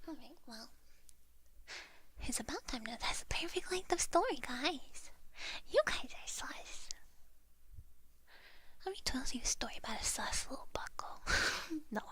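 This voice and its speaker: A woman's voice: on the high side, fairly bright tone, slightly rough. A woman streamer whispering ASMR close to an ear-level mic, playfully teasing her viewers, with a stuffed-up nose. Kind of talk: ASMR story time.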